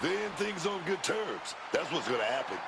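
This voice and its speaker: A high, gravelly male wrestling color commentator in a video game. He reacts with alarm and heart, his pitch jumping around over loud arena noise. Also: sing-song, normal speed. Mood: moved. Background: noisy wrestling arena.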